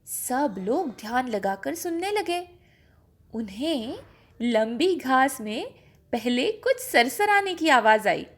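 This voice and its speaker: A woman narrating a children's story in an expressive, unhurried and dramatic way. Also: high voice.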